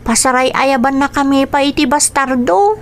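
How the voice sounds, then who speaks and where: High-voiced, dutiful, weary young girl. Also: moderate pace, loud music behind the voice. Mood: aggrieved, weary. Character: dutiful, weary young girl living under her uncle's roof. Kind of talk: radio drama acting.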